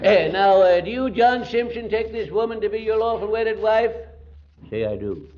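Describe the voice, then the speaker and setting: A flustered old-time radio judge who keeps losing his place and laughing at his own jokes. His voice sits high and a little breathless over the muffled hum of a 1940s broadcast. Faint noise runs under it. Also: lively intonation, normal speed, darkish tone. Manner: fumbling, chuckling, trails off with "uh". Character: bumbling comic male judge performing a wedding. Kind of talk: 1940s radio comedy acting.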